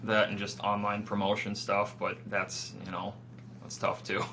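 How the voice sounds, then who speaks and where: A man's voice: lowish voice, monotone, normal speed, bright, forceful tone. A man, a band drummer, chatting casually as an interview guest. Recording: faint hum and noise.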